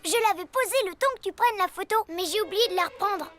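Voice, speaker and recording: A performer voicing an eager, excitable young boy superhero in a cartoon, with childlike exclamations. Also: extremely high pitch, quick pace. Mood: earnest. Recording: loud music behind.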